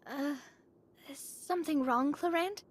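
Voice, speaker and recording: High-voiced, curious young woman adventurer. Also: measured pace. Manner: breezy, inquisitive. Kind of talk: video game voice acting.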